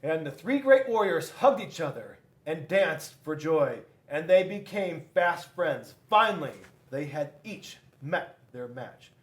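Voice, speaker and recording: A man reading a children's storybook aloud in an animated style, with dramatic emphasis and drawn-out words. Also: fairly high pitch. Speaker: police school resource officer reading to children.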